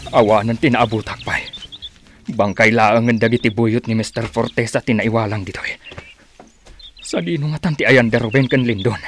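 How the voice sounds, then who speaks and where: Actor as watchful male scout, medium pitch, Ilocano accent, resolute, radio drama, faint noise. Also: steady tone, moderate pace, lightly acted.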